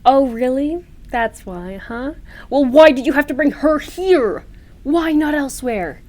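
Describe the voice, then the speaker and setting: A woman's voice: sits high, lively intonation, measured pace, darkish tone. An actress playing a jealous, indignant girlfriend in a comedy audio drama, sarcastic and confrontational. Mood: sarcastic anger.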